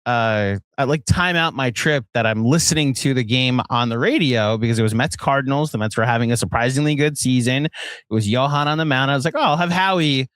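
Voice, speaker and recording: A man's voice: medium pitch, a little fast, fairly bright tone, no room sound. An American man co-hosting a sports podcast, telling stories in a rambling, conversational way. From Virginia, United States. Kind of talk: casual sports chat.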